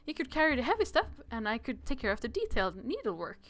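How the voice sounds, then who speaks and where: A woman plays a young fairy tale prince in a visual novel. He is gentle, polite and earnest, with a rather high, eager voice. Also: sing-song, fast.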